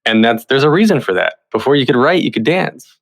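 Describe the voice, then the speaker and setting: A man's voice: mid-range voice, lively intonation, a little fast. A man hosting a podcast interview in a conversational style. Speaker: podcast host.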